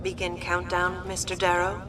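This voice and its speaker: Woman doing emotionless ship computer, lowish voice, formal announcements, lots of vehicle noise. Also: completely flat delivery, moderate pace, lightly acted. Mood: impassive. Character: impassive female automated shuttle computer. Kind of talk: automated system announcements in a video game. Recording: distant, with clear machinery noise.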